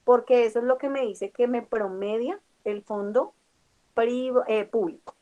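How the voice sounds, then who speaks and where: A woman's voice: fairly high pitch, measured pace, mellow, muffled recording. A young Colombian woman with a Colombian accent teaching a class, explaining step by step and checking in with her students. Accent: Colombian Spanish. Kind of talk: classroom lecture.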